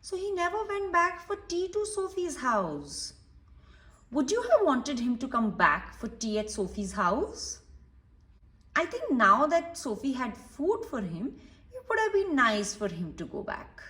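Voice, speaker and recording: A woman's voice: high-pitched, huge pitch swings, measured pace, bright, forceful tone. A woman reading a storybook aloud to young children, explaining it simply and putting questions to the listener. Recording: roomy echo, distant from microphone.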